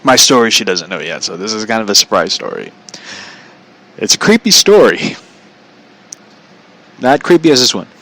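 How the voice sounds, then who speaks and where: An American man with a fairly low but bright, forward voice tells a story off the cuff. He rambles casually and talks bluntly, in loose slang peppered with swearing. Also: big pitch swings, moderate pace, pauses often. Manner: chuckles often while talking. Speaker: show host and storyteller.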